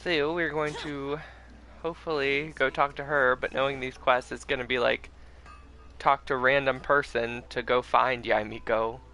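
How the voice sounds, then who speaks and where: A man's voice: mid-range voice, steady tone, moderate pace, sharp tone, faint music behind. A man giving casual commentary as he streams a video game.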